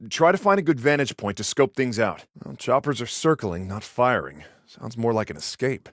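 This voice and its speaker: An actor with an American accent playing a protective, exasperated older brother in a video game, earnest and urgent with a dry sarcastic edge. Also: male voice, mid-range voice, sing-song, moderate pace, no room sound. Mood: focused, informative. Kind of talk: naturalistic video game voice acting. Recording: dry isolated game voice lines.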